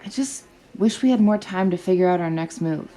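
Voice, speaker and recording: A woman playing a tough young female rebel in an audio drama. Her voice is on the low side and dark-toned. She talks bluntly, with impatient, sardonic bite. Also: brisk, lightly acted. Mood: grumbling, uneasy. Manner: brusque, sardonic.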